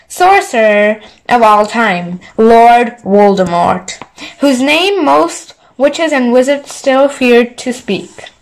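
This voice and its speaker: Higher-voiced woman reading a bedtime story. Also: rough recording. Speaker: audiobook reader. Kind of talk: scripted bedtime story narration.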